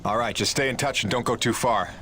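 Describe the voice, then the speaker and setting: A man playing a steady, weary rig pilot who leads a rebellion in a game cutscene, plain-spoken and reassuring. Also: medium pitch, fast, a little hiss or hum, natural voice. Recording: loud music behind the voice.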